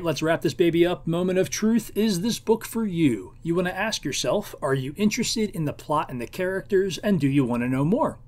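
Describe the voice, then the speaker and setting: A man narrates as host of a book preview show. His scripted delivery is highly animated, with a fairly high voice that moves freely up and down.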